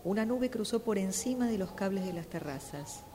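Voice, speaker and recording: Woman narrator with clear background noise. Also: medium pitch, expressive, moderate pace, dark tone. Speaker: radio drama workshop participant. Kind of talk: scripted narration exercise.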